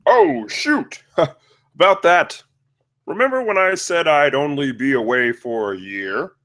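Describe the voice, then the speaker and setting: A man acting a casual, sheepish father in his fifties at an animated comedy table read. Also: on the high side, slow, creaky, exaggerated voice. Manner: casual, hesitant, brushing off bad news.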